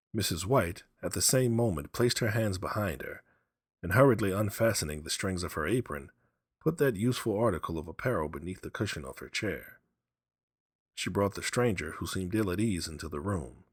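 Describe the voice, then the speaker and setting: A man's voice: low voice, breathy edge, dry recording, clean studio sound. A man reading a story aloud as a dramatic, scripted narration. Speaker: story reader.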